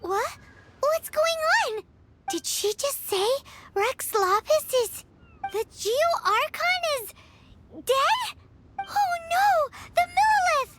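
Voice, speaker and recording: Squeaky little fairy girl. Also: very noisy, heavily acted. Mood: panicked. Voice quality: squeaky, childlike. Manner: bubbly, exclamatory. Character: tiny excitable girlish fairy companion, childlike and chatty. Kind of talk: video game cartoon voice acting. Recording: loud music under the voice.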